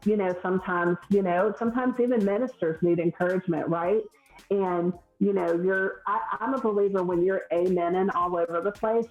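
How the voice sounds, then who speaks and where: A lower-voiced woman with a Southern US accent talks earnestly and haltingly, restarting her sentences often. Her voice sits in a roomy space and sounds dull and lo-fi. Faint music plays behind her. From Southern United States. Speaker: guest, hairstylist. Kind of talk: podcast conversation.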